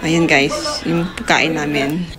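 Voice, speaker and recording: A woman's voice: low voice, fairly even intonation, takes it slowly, over music. A woman narrating a casual travel vlog, chatty and addressing her viewers as guys. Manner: chatty, keeps saying "guys". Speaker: travel vlogger.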